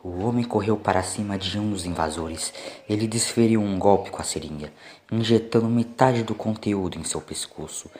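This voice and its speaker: Deep-voiced Brazilian man narrating. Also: normal speed, darkish tone. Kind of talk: dramatic scripted story narration. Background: faint music.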